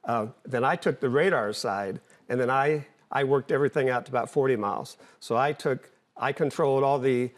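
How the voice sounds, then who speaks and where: An older man speaking as an interview guest. His pitch is average for a man. He explains things in a plain, conversational way, halting now and then and repeating words as he goes. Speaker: interview guest, former air traffic controller and pilot.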